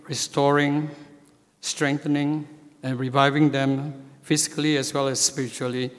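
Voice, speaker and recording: Church elder, male, preaching a sermon; slow and measured delivery, reverberant sanctuary. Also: mid-range voice. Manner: slow, measured, with frequent hesitations. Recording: long hall reverb.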